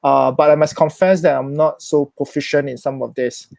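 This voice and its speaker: A man's voice: on the high side, fairly even intonation, normal speed, creaky, darkish tone, dry recording. A man whose first language is Chinese, speaking English in a thoughtful, hesitant way with many restarts as an interview guest. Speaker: interview guest, social worker. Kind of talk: reflective conversational interview.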